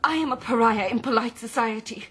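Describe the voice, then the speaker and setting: A woman's voice: on the high side, a little fast, a bit bright, slight background noise, natural voice. An actress playing a proud, distressed aristocratic lady with formal, impassioned speech in a period radio drama. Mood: distressed pleading.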